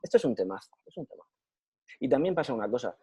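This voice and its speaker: Spanish guy answering questions after his talk, husky and dark, fires off words fast. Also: medium pitch, very animated, no echo. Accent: Spain Spanish. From Spain. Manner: enthusiastic, repeats phrases for emphasis. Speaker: lecturer. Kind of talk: casual Q&A after a lecture. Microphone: video call. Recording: muffled video call audio.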